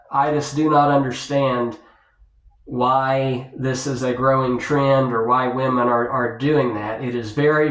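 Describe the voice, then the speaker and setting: A man with a mid-pitched voice talks slowly and haltingly, as if lecturing his viewers. He repeats himself as he gives off-the-cuff advice. The sound is roomy and echoing. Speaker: video blogger giving dating advice. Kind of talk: unscripted monologue to viewers.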